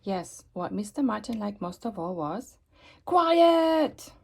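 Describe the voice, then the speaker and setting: A woman reading a children's story aloud expressively, with dramatic emphasis and pauses. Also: medium pitch, big pitch swings, creaky, darkish tone. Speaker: storybook reader.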